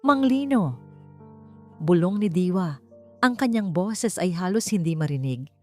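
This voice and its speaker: Low-voiced Filipino woman narrating over music. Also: animated, normal speed, dark tone. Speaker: audiobook narrator. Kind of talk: dramatic scripted story narration. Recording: music bed under narration. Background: background music.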